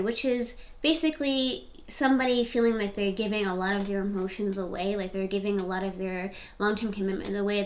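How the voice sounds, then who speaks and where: A woman in her twenties doing a casual, rambling tarot reading to camera, often repeating her questions. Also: mid-range voice. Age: 20s. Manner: chatty, rambling, repeats questions while shuffling. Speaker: tarot reader. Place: home, seated in front of camera.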